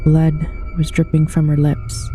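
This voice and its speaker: Dark-voiced woman narrating a horror tale. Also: sits low, monotone, a little slow, soft background music. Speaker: narrator, paranormal storyteller. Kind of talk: scripted horror story narration, read aloud.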